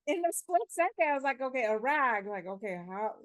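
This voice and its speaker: A woman's voice: high-pitched, expressive, fairly quick. Woman telling her story. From Slovakia. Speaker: interview guest, theater teacher. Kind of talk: conversational personal storytelling.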